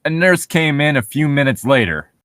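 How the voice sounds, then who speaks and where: A man's voice: on the high side, expressive, moderate pace, a bit bright, no room sound, clean studio sound. A man doing a comedic read-aloud of horror fiction, theatrical and sardonic. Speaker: co-host reading horror stories for laughs.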